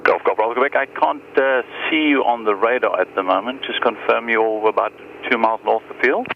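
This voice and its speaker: A male air traffic controller rattles off clipped instructions with a gravelly edge. He comes over a muffled aviation radio with clear engine noise around him. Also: mid-range voice, a little fast. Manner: clipped, procedural phraseology.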